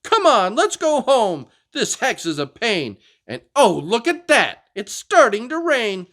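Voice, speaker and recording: Man putting on a high, grumpy young girl dinosaur. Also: huge pitch swings, unhurried, a bit bright, exaggerated voice.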